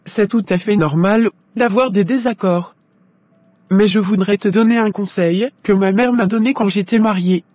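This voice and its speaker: A woman playing a wise, conciliatory mother-in-law in a scripted cartoon drama, giving advice in a measured way. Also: medium pitch, a little mellow, no room sound, lightly acted. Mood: calm, advising. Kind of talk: scripted animated drama dialogue.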